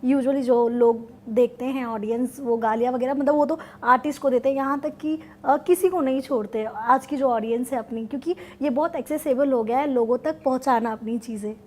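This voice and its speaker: A woman's voice: high-pitched, a little fast, few pauses, a little mellow. A woman interviewer asking long, rambling questions and addressing her guest politely.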